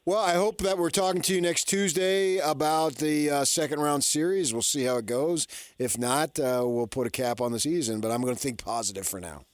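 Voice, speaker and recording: A man's voice: on the high side, brisk, dry recording. A man hosting a sports radio show, talking casually in a rambling monologue and often answering his own questions. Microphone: studio radio microphone.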